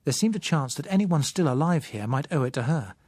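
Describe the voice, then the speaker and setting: A man with a British accent reading a novel as an audiobook narrator, measured, literary and expressive in delivery. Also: fairly high pitch, slightly rough, no echo, clean studio sound. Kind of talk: dramatic scripted novel narration.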